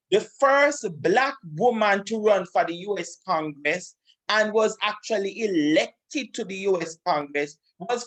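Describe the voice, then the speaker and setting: Slow-talking man teaching. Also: sits high, a bit bright, poor audio. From Jamaica. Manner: slow, repeats and corrects himself. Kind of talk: lecture over slides.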